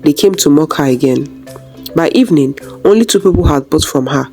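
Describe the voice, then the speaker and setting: A woman storyteller with a low, mellow voice narrates a village folktale. She, and faint music plays underneath. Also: lively intonation, a little fast.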